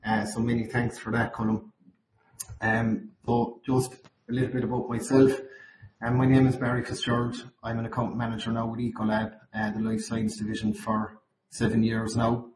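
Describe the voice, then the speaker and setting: Irish male webinar presenter, slightly croaky, monotone, recorded in an echoey space with faint noise. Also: medium pitch, moderate pace, crowd noise. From Ireland. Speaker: account manager presenting at a webinar. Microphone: video call. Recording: roomy, noisy webinar call audio.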